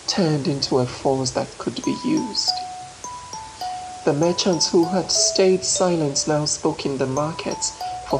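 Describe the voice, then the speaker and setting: A woman narrating a folktale dramatically, with measured, emphatic phrasing and deliberate pauses. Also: darkish tone, rough recording. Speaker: storyteller. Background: ambient marimba, xylophone and low nature sounds.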